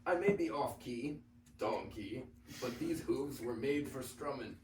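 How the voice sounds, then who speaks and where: Teen boy reading as a lively old donkey, roomy recording. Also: mid-range voice, a little slow, close to an everyday voice. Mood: jokey, encouraging. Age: teenager. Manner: jokey, punning. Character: old worn-out male donkey, upbeat would-be musician. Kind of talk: reader's theater script reading. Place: high school classroom. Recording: roomy, distant classroom recording, faint noise.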